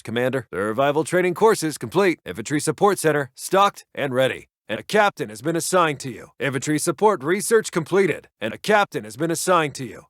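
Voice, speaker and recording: A male actor plays a dutiful American army intelligence officer from a World War II strategy game. His voice sits slightly high for a man and is recorded very dry and close, without room sound. He delivers brisk, formal field reports to his commander in clipped military phrasing. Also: no room sound, close to an everyday voice. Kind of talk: scripted video game unit voice lines.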